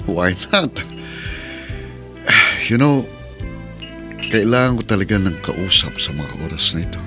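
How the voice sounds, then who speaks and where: An actor playing a jilted bachelor drinking to forget in a Filipino radio drama, brooding and drawling, with a Filipino accent. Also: male voice, on the low side, very animated, measured pace, music in the background. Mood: self-pitying.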